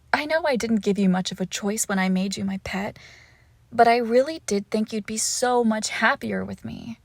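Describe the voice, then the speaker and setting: A woman playing a lovesick, possessive and lonely witch in an intimate ASMR roleplay, speaking softly and breathily and often slipping into tender whispers. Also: medium pitch, gravelly, no room sound. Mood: possessive, coy.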